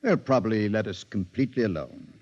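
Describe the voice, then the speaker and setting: An actor playing a calm, soothing psychiatrist talking a patient down, in 1950s radio drama style. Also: male voice, on the low side, fairly quick, slight background noise, lightly acted. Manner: soothing, patient, gently coaxing. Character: calm middle-aged male psychiatrist, soothing. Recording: dull old radio broadcast.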